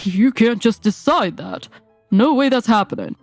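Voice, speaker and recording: A woman voicing a bossy, arrogant husband and office boss in a manga dub, talking down to people and quick to shout. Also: a little high, lively intonation, no echo, faint music behind. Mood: indignant. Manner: domineering, scornful, quick to shout.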